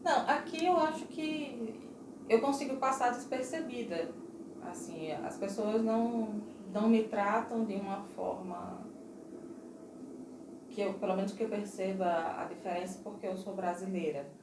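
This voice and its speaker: A woman from Northeast Brazil with a Northeastern Brazilian accent, answering interview questions in a thoughtful, measured way and stressing some points firmly. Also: mid-range voice, roomy sound, far from the mic, noisy background. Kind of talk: interview conversation. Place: Portugal.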